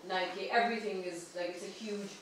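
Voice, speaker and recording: A woman's voice: mid-range voice, steady tone, moderate pace. A woman from Pakistan, earnestly and articulately putting a question and comment at a seminar. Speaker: audience member, barrister and entrepreneur. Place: large indoor meeting room. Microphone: far room camera. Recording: distant mic, very echoey room, faint noise.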